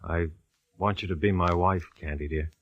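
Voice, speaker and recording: A man's voice: low voice, steady tone, normal speed, dull audio, noisy background. An American man acting a smitten, teasing police captain in a 1940s radio drama. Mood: earnest, tender. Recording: vintage radio broadcast recording.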